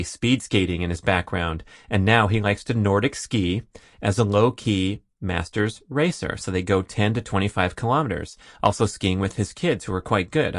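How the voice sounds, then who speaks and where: A man's voice: fairly low pitch, a bit bright, no echo, studio quality. Jokey, rambling male podcast host. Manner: rambling, jokey, self-deprecating. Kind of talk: solo listener Q&A podcast.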